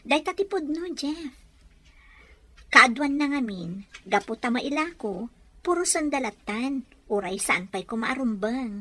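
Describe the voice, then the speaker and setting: A woman's voice: high-pitched, expressive, measured pace, pauses often, sharp tone, no echo, full character voice. A woman acting an earnest young woman farmer in a radio drama.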